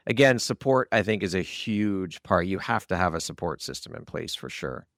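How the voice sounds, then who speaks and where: A man with a low, creaky voice hosts an interview in a conversational, encouraging manner. The sound is dry and close. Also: lively intonation, moderate pace, fairly bright tone, no echo. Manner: affirming, encouraging. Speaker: podcast host.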